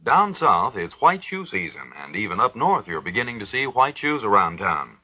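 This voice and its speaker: A man's voice: on the low side, sing-song, normal speed, dry recording. An American man reading a scripted 1940s radio commercial as an announcer. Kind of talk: 1940s radio advertising read. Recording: dull, dry 1940s radio recording.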